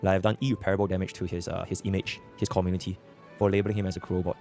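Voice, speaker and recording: Low male voice, fast rambling explanation, hesitant, faint music behind. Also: level tone, a little mellow. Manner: hesitant, filler-heavy. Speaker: content creator in a clip.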